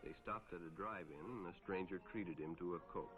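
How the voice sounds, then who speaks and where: An actor playing an earnest police lieutenant, narrating a cautionary 1950s educational film in a measured, instructive way on a dull old soundtrack. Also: male voice, deep voice, over music, natural voice. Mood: sober storytelling.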